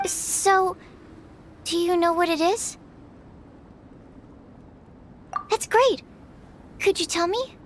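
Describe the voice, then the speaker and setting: A woman's voice: very high voice, takes it slowly, slight background noise. A woman playing an earnest, bookish young female alchemist in a video game, polite, fussily precise and easily flustered. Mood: flustered, curious. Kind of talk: animated game voice acting. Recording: music behind the voice.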